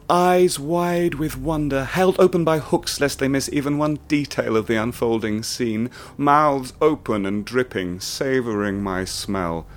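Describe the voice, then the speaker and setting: A man narrating a first-person horror radio play, hushed and intense. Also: mid-range voice, a little hiss or hum. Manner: hushed and intense, rising to ecstatic. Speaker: narrator and protagonist.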